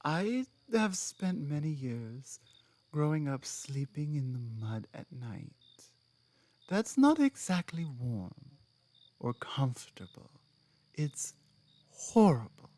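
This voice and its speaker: Woman acting a slow, low-voiced orc woman. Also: sing-song, dark tone, heavily acted. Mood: earnest, bitter. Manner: deliberate, halting phrases with weighty pauses. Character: strong, proud, earnest female orc companion, guarded about her past. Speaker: audio roleplay performer. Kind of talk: ASMR dramatic roleplay, intimate monologue to listener.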